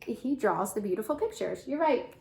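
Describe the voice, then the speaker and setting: A woman's voice: on the high side, expressive, moderate pace, creaky, dark tone, slight background noise. Woman reading aloud to young children. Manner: instructive, asks questions, explains words. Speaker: teacher reading a picture book aloud. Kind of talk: children's story read-aloud with questions.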